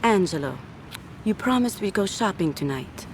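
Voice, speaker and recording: A woman's voice: mid-range voice, lively intonation, moderate pace, a little mellow, a little hiss or hum, close to an everyday voice. A woman acting a proud, pouting gangster's girlfriend in a video game. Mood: petulant.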